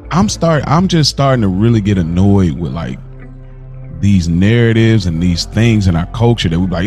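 A young man co-hosting a podcast in casual chat, telling stories in an animated way and laughing often. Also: on the low side. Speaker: podcast co-host.

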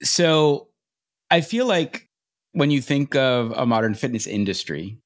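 A man co-hosting a podcast, chatting casually and giving animated opinions. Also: medium pitch, measured pace. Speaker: podcast co-host. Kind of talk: podcast chat and interview.

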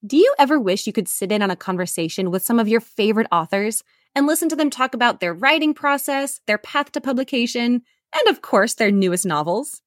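A woman reading a scripted podcast promo in a bright, friendly, inviting way. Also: fairly high pitch, lively intonation, a little fast, few pauses, no room sound. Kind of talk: scripted ad read. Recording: dry close studio sound.

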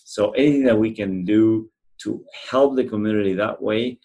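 A middle-aged man with an Argentine accent, answering interview questions in an earnest, halting, conversational way. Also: lowish voice, mellow. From central Argentina. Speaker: animal shelter representative being interviewed.

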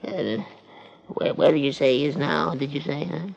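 An actor playing a forgetful, grasping old uncle, querulous and doddering, with a creaky voice in a 1950s radio drama. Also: male voice, a little high, steady tone, moderate pace, a little mellow, highs cut off. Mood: resentful. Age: older.

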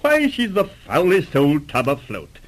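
A grumpy old English lord in a vintage radio play. He is pompous and indignant, spluttering his objections in a husky voice that leaps up and down. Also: on the high side, wildly swooping pitch, unhurried, exaggerated voice. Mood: flustered, grudging. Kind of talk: 1940s radio drama acting.

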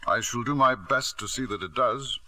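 An actor playing a ruthless self-made millionaire father, narrating and acting in a 1940s radio drama with a crisp, sardonic delivery. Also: medium pitch, moderate pace, bright, forceful tone, no echo, rough recording. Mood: cool menace. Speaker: narrator and lead character. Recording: dry old radio broadcast.

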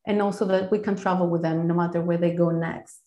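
A woman whose first language is Greek, a guest speaking quickly and eagerly in a podcast interview, often restarting her phrases. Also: fairly low pitch, fairly even intonation, warm tone, reverberant, lo-fi sound. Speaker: guest, founder of a counselling service.

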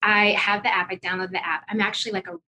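A woman speaks as a podcast guest in a bright, crisp-edged voice. She is informal and emphatic, chatting casually in an office. A faint rumble sits behind her. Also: fairly even intonation, fast, poor audio. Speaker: podcast guest, wealth management executive. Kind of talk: casual conversational interview. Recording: noticeable low background rumble.

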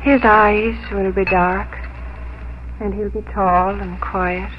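Gentle, firm young unmarried daughter, played by a woman, earnest and composed, on a muffled radio recording with faint noise. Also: medium pitch, fairly even intonation, slow pace, natural voice. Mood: dreamy. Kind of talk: 1950s radio drama acting.

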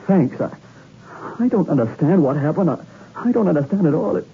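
Frightened young suspect, high and agitated. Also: male voice, lively intonation, husky, phone-quality sound, exaggerated voice. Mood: bewildered. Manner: halting, agitated, pleading. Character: frightened, bewildered young male murder suspect. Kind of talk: 1960s radio suspense acting. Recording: thin old radio recording, echoey.

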